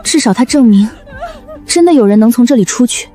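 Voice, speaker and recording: Mellow, composed young woman survivor acted by a woman. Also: on the high side, lively intonation, fairly quick, faint music behind, close to an everyday voice. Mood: earnest explaining. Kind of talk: scripted animated drama acting.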